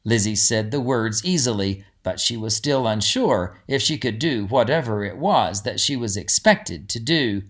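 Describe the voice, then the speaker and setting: A man reading a storybook aloud as scripted narration, in an expressive storyteller's manner. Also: fairly low pitch, normal speed, hardly any pauses. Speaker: audiobook narrator.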